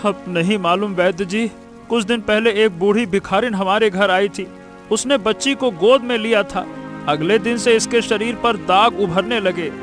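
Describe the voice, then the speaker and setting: Man acting a high-voiced, humble village father. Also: moderate pace, music in the background, natural voice. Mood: worried. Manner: pleading, earnest, repeating himself. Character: poor, desperate, humble village father, protective of family. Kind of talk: Hindi cartoon story dialogue.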